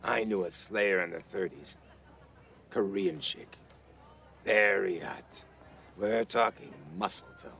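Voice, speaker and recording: An actor playing a crude, world-weary ventriloquist dummy in a TV drama, with a gravelly voice and brash old-time tough-guy slang. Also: sits low, slow pace, long pauses, fairly bright tone, exaggerated voice. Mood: boastful, nostalgic. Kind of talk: television drama acting.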